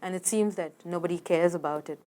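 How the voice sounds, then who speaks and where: A woman's voice: on the low side, fairly quick, mellow. A woman speaking in a serious, conversational way in a documentary interview.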